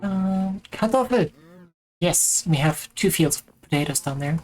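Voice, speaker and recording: A woman's voice: fairly low pitch, animated, unhurried, a little mellow. A woman from Germany with a German accent, chatting casually and rambling with self-mocking humor while she streams a game. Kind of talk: casual gaming chat.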